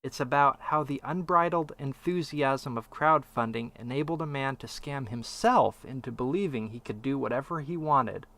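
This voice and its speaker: A man's voice: medium pitch, normal speed, hardly any pauses, pushed and bright. A man co-hosting a casual podcast discussion, conversational, reading out passages and asking his co-host's view. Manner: conversational, reads quotes aloud, asks for opinions. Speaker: podcast co-host.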